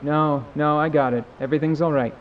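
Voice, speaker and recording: Man playing rough protective fighter, outdoor noise behind. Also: fairly high pitch, steady tone, a little fast, recorded from a distance, narrow, tinny audio, close to an everyday voice. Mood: reassuring. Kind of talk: audio drama acting.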